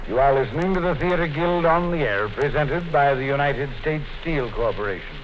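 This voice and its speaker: A man hosting a radio program, giving crisp, formal introductions. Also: on the high side, animated, normal speed, a little hiss or hum. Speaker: program host. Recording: dull lo-fi 1950s radio broadcast.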